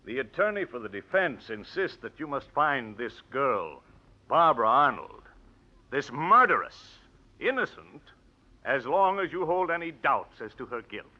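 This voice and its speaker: An actor playing an aggressive, scornful prosecuting attorney with theatrical, emphatic oratory in 1940s radio drama style. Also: male voice, medium pitch, sing-song, a little slow. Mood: cold, scornful. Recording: muffled old radio broadcast recording.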